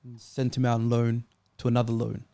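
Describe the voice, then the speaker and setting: Male football panelist, creaky voice, dry sound, casual debating style. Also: medium pitch, monotone, brisk, warm tone, no echo. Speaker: football talk show panelist.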